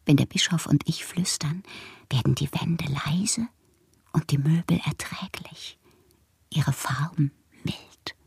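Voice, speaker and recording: Husky, whimsical woman poet. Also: low-pitched, slow, darkish tone, no room sound, studio quality, full character voice. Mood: hushed, secretive. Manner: theatrical, swinging between breathy whispers and exclamations. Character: woman poet writing letters, whimsical, overwrought, lovelorn and melancholy. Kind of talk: radio drama monologue of read letters.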